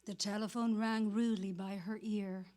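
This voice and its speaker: A woman's voice: mid-range voice, steady tone, moderate pace, darkish tone. A woman narrator giving a scripted dramatic reading of literary prose.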